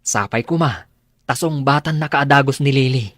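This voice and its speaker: An actor playing an excitable, loyal young friend in an Ilocano radio drama, expressive and emphatic, with an Ilocano accent. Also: male voice, mid-range voice, moderate pace, dry recording, natural voice. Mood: teasing.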